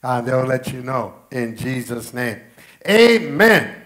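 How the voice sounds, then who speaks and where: A man in his forties, a pastor, speaking earnestly to his congregation with a raspy, strained voice, often repeating phrases for emphasis. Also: medium pitch, very animated, slow pace, a bit bright. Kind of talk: church announcements and sermon. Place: church stage with pink-lit wall. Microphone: headset mic.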